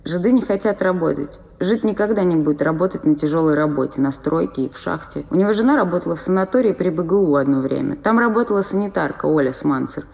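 A woman's voice: fairly low pitch, expressive, fast, creaky, hardly any pauses, darkish tone, long reverb tail. A woman reading scripted narration for an audio play. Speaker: actor reading stage directions.

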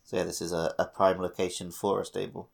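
Low, gravelly-voiced man chatting. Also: level tone, quick pace. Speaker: podcast co-host. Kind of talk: casual gaming chat.

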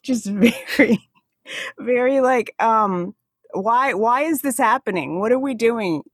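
A woman with an American accent, faintly Alaskan, speaking as an interview guest in a casual, halting way, searching for words. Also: on the high side, no room sound, studio quality. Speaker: podcast guest, comedian and activist.

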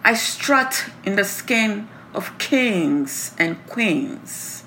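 A woman poet presenting and reciting her own work in a deliberate, emphatic delivery. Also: medium pitch, animated, sharp tone, a little hiss or hum, poor audio. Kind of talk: introducing and reciting own poem.

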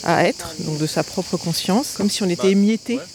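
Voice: medium pitch, expressive, normal speed, background noise. Une personne interrogée en pleine rue pendant un festival réfléchit à voix haute. Elle hésite, cherche ses mots et se reprend. Le bruit de la rue est bien présent autour de sa voix. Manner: hesitant, thinking aloud. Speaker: passer-by in street interview. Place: outdoors on a festival street.

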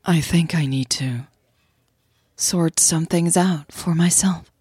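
Woman voicing a low, husky, thoughtful young woman. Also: slow, mellow, no room sound, clean studio sound, heavily acted. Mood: candid, earnest. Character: young woman, thoughtful, candid, quietly unsettled lover.

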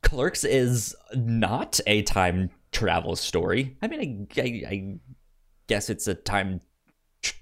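Slow, low-voiced man with a stutter. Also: big pitch swings. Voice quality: stutter, repeating sounds and words. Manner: halting, hesitant delivery. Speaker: podcast co-host. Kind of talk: casual film review chat.

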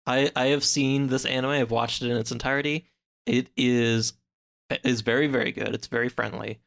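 Casting: male podcast guest, informal, slightly halting delivery, average pitch. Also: fairly even intonation, vocal fry, a bit bright. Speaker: podcast guest and co-host of another show. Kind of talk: casual podcast chat.

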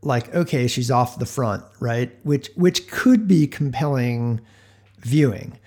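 A man's voice: medium pitch, animated, slow pace, a little mellow. Man thinking aloud in casual sports chat. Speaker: podcast co-host.

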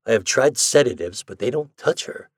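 Man voicing a fretful old doctor, swooping pitch. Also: sing-song, normal speed, creaky, husky, no room sound, studio quality, full character voice. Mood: worried, pitying. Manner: earnest, pleading, emphatic. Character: kindly, fretful, eager old male doctor. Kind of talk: audiobook character dialogue.

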